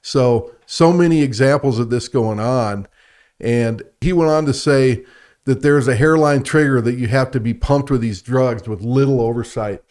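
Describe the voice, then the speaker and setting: A man's voice: medium pitch, moderate pace, darkish tone. A man co-hosting a conversational science and faith talk show, speaking in a chatty, enthusiastic way. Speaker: radio show co-host.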